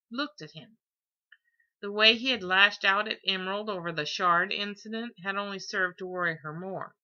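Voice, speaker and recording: A woman reading an audiobook story as expressive scripted narration, on a lo-fi recording. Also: mid-range voice. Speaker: audiobook reader.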